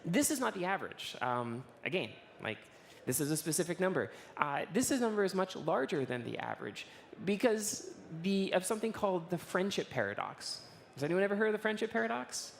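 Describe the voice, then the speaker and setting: Hoarse woman presenting on a conference stage. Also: on the low side, sing-song, fairly quick, slight background noise. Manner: informal, frequent filler words and restarts. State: hoarse, losing her voice. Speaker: engineering executive giving a conference talk. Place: stage of a large conference hall in front of an audience.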